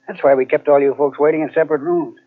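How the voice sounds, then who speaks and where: An actor playing a shrewd, needling police detective in 1940s radio mystery style, probing and sardonic. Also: male voice, fairly high pitch, fairly even intonation, fast, natural voice. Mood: sardonic, accusing. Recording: tinny vintage radio recording on cassette.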